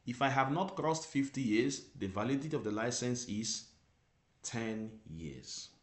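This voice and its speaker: A man teaching driving theory, reading out Italian rules and explaining them slowly in English with lots of repetition. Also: medium pitch, echoey room. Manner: reads Italian text then explains it in English, repeating terms. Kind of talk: slow explanatory lesson.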